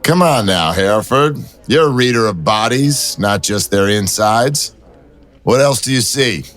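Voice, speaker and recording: An actor playing a wry, persistent city detective who talks in dry, teasing banter. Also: male voice, lowish voice, expressive, a little slow, fairly bright tone.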